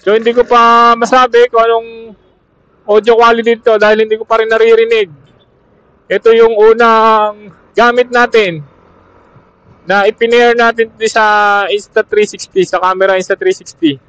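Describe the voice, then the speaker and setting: A man's voice: extremely high pitch, flat delivery, normal speed, long pauses, a bit bright, clean tone. A man with a Filipino accent chatting casually as a motovlogger to fellow riders, recorded through a helmet intercom mic while out riding. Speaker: motovlogger reviewing gear. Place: on a motorcycle outdoors on a road. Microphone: helmet intercom used as external camera mic. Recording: dull muffled helmet intercom mic over Bluetooth.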